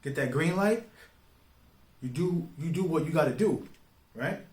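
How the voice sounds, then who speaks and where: A man's voice, a little higher than average, rings in a clearly echoing room. He gives informal opinion commentary in street slang. His delivery is emphatic and deliberate, leaning on rhetorical questions to make his points. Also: expressive, darkish tone. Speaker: online commentator. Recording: roomy, echoing sound.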